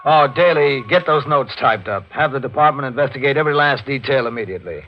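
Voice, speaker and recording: An actor playing a brisk, businesslike police investigator in a 1940s radio drama. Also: male voice, mid-range voice, animated, close to an everyday voice. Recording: dull 1940s radio recording.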